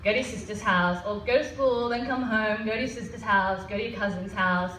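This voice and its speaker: A woman in her thirties with an Australian accent, telling personal stories to an audience in an animated way. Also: medium pitch, fairly quick. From Melbourne, Australia. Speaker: guest speaker. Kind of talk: personal storytelling talk to an audience. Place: event hall with an audience. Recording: echoey hall, distant mic, faint noise.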